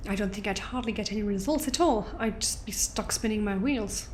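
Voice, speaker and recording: A woman's voice: medium pitch, fairly quick, slight background noise, close to an everyday voice. A woman playing an unrepentant, smugly amused exiled doctor in an audio drama, articulate and theatrical with a sardonic edge. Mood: scornful amusement.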